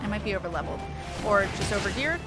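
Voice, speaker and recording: A woman's voice: mid-range voice, animated, fast. A woman streaming a game, giving casual, irreverent commentary. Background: game music.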